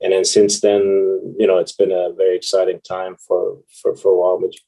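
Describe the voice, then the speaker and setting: A man, answering interview questions in a halting way with many restarts. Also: low voice, completely flat delivery, vocal fry, mellow, rough recording. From Hungary. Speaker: guest, VP of exploration.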